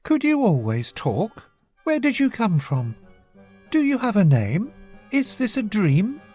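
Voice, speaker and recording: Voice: mid-range voice, wildly swooping pitch, unhurried, darkish tone, husky, faint music behind, voice pushed up, full character voice. A storybook narrator voicing a curious, chatty seven-year-old girl who speaks in an earnest, inquisitive way. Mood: curious, puzzled.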